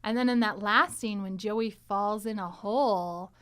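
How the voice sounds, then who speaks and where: A woman podcast host in casual group conversation, animated and chatty, often echoing agreement. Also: mid-range voice, a little slow, clear, resonant tone.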